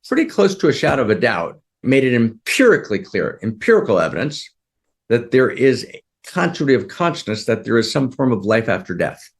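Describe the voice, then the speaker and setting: A man's voice: mid-range voice, very animated, brisk. A man giving an earnest teaching session to a group, often repeating himself and checking that his listeners are following. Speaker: spiritual teacher.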